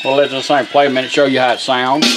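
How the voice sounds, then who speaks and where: A man with a Southern US accent giving a casual, plain-spoken, unhurried product demonstration as a radio restorer. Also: medium pitch, little pitch movement, loud music behind the voice.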